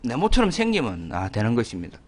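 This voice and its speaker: A middle-aged pastor with a Gyeongsang accent explains his point in a slow, deliberate way, with pauses and soft fillers. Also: mid-range voice, wildly swooping pitch. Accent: Gyeongsang dialect. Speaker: pastor, guest. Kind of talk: conversational explanation.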